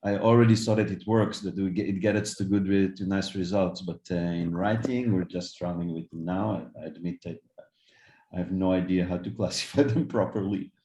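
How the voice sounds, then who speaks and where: A man's voice: low-pitched, fairly even intonation, fairly quick, warm tone, echoey room. A man on an academic panel talking through ideas in a thoughtful, self-deprecating way. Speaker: professor.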